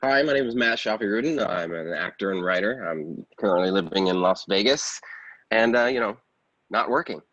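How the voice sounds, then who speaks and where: Male podcast guest, lowish voice, casual halting talk, close dry sound. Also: lively intonation, a bit bright, dry recording. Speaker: podcast guest, actor and writer. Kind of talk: casual chat. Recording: slightly dull, dry audio.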